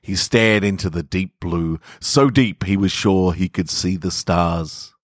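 Deep-voiced man reading stories, close and dry. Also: a little slow, dry recording. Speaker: podcast host and story reader. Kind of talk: literary story narration and informal sign-off.

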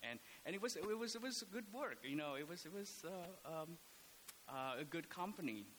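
Priest from indonesia, bright voice, lots of ums and restarts, faint hiss. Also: male voice, on the high side, animated. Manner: halting, many fillers and restarts. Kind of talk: casual podcast talk.

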